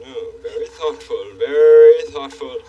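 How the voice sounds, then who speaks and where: A man voicing a pompous, formal male king puppet who speaks slowly and fussily. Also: a little high, fairly even intonation, distant mic, lo-fi sound, lightly acted. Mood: stately. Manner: slow, stately, fussy.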